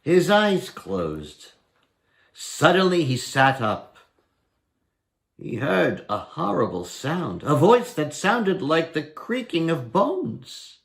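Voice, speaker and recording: A man in his sixties reading a storybook aloud with expressive narration. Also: fairly high pitch, slow pace, vocal fry. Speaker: story reader. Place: room at home, indoors.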